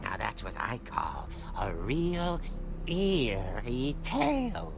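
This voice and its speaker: A man's voice: medium pitch, huge pitch swings, slow, creaky, noisy background. A man narrating a spooky comic-book tale in a theatrical, gleeful horror-host manner. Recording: loud music score behind.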